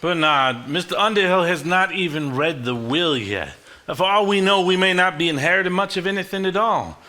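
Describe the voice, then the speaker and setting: Mild reasonable man, earnest, bright tone, slightly high pitch, stage drama. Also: lively intonation, moderate pace. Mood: earnest reasoning. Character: reasonable, mild-mannered, unselfish man calming relatives. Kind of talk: live stage acting.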